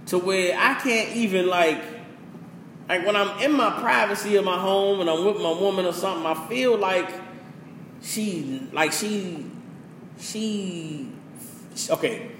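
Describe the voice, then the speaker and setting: A man's voice: high-pitched, measured pace, a bit bright. A man who rants passionately as a podcast host, preachy and full of profanity. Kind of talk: casual opinion rant. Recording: echoey room with faint hiss.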